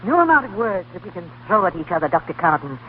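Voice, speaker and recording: A muffled, faintly hissy old radio recording carries a stern elderly grandmother. She is dignified and brusque, commanding like the head of a grand household, and her lines swing widely in pitch in period drama style. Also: big pitch swings, quick pace. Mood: grave, firm. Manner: imperious, brusque. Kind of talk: 1930s radio drama acting. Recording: muffled, faintly noisy 1930s radio broadcast.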